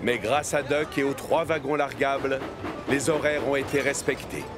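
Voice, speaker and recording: A man narrates a scripted children's cartoon story in French. His voice sits at a medium pitch, with music playing clearly behind him. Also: fairly even intonation, normal speed, crowd noise.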